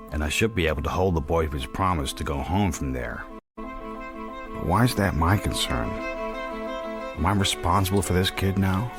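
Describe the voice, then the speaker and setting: An actor playing a wry, watchful man who narrates in the first person in a radio drama, dry and reflective. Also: sits low. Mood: musing. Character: wry, watchful man privately narrating his shady dealings.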